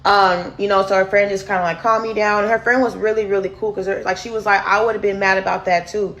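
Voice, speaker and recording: A deep-voiced woman, candidly telling viewers a personal story in a casual storytime vlog. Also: fairly even intonation, brisk, a bit bright. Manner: candid, chatty, addresses viewers as y'all. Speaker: storyteller host.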